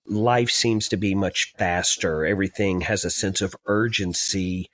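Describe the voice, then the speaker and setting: A man hosting an interview podcast, asking questions in an upbeat, enthusiastic way. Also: mid-range voice. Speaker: podcast host.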